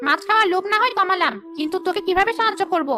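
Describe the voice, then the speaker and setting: A woman voicing a short-tempered, hungry river ghost with a bossy, threatening manner in an animated folk tale. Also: very high-pitched, racing, music in the background. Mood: impatient.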